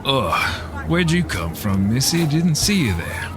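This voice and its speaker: A man's voice: mid-range voice, sing-song, slow pace. A man playing a breezy, drunken nightclub goer in an audio drama, with a loose, swaggering delivery. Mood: startled, bleary. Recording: music and street crowd behind.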